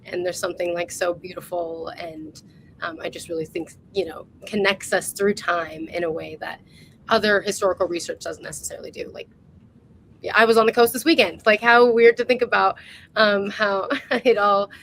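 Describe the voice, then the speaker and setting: A woman's voice: mid-range voice, fairly even intonation, normal speed, vocal fry, a bit bright, slight background noise, poor audio. A woman hosting a casual interview over a video call, informal and enthusiastic in the way she talks. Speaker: interview host.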